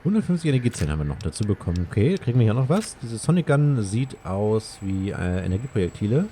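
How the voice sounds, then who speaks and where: A man commenting casually on his gameplay. His voice is low and very dark, with animated intonation. There is faint noise behind him. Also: fast. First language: German. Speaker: let's play streamer.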